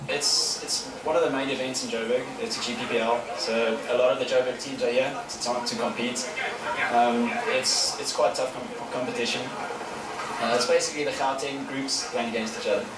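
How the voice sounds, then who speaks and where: A man's voice: mid-range voice, fairly even intonation, moderate pace. A man, a paintball player chatting in an outdoor interview with lots of fillers and restarts. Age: 30s. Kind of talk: casual interview answers. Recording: echoey, far from the mic, with noise.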